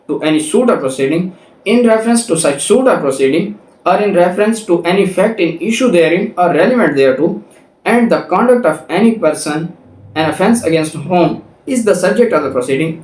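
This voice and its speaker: Male law lecturer, Pakistani accent, fairly high voice, methodical explainer, roomy echoing sound. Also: darkish tone. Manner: switches between Urdu and English legal terms; methodical, repeats and rephrases points. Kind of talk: explanatory lecture with clauses read aloud. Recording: echoey room sound.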